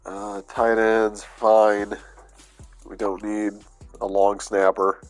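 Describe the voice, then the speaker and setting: A man with a fairly low voice and a stuffy, congested sound, talking through a sports video game at an easy, unhurried pace. He thinks aloud with plenty of "uh"s, casual and a little worn down from being under the weather. Faint music sits underneath his voice. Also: level tone. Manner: laid-back, thinking aloud with fillers. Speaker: gaming YouTuber. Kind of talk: casual gameplay commentary.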